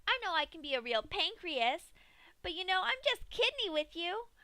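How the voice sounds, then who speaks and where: A woman's voice: very high-pitched, very animated, normal speed, pushed and bright, dry recording, pitched above the performer's own voice, exaggerated voice. A woman voicing a manic, cheerfully cruel female pink pony in a fan story reading, bubbly and singsong. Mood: joking. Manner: bubbly, singsong, punning playfully.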